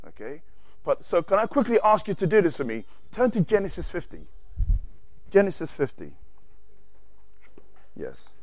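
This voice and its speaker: Animated preacher with an accent. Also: male voice, sits high, moderate pace, long pauses, dry recording. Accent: non-native English. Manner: animated, reads scripture aloud, self-correcting asides. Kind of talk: sermon teaching from scripture.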